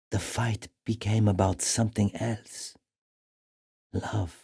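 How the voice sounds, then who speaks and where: A battle-scarred gladiator champion in a dramatic video game monologue. His voice is very deep and husky, with a gravelly edge. He speaks slowly and intensely, brooding like a fighter hopelessly in love. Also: heavily acted. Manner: slow, brooding, intense, hushed at times.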